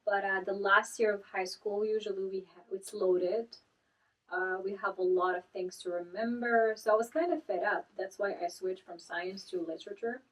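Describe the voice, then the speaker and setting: Woman in her 30s, from Morocco, arabic native, thoughtful, modest, pauses with fillers, slightly distant mic. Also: medium pitch, a bit bright. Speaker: guest, author and entrepreneur. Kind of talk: casual interview answers.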